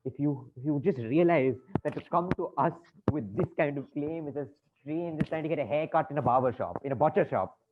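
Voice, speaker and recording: A man's voice: on the high side, fairly quick, natural voice. An actor playing a fussy, exasperated bank manager in a comic play, stammering politely as his patience frays. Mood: frantic, outraged. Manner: stammering, fussy, over-polite turning frantic. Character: flustered, exasperated male bank manager, polite but fraying. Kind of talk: comic stage-play acting. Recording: tinny band-limited audio, faint noise.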